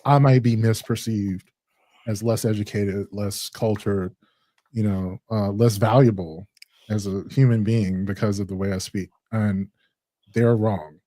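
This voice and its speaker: A man from Chicago with a deep, rich voice, co-hosting a casual chat in a measured, reflective way. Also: fairly even intonation, warm tone, no room sound. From Chicago, USA. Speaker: co-host.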